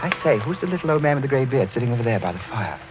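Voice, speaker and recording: An old radio recording, muffled with music behind, carries a man playing a young lord. He is brisk, inquisitive and well-bred, his pitch swinging widely. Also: very animated, close to an everyday voice. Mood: curious, uneasy. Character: anxious, polite young English lord. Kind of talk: radio horror drama acting.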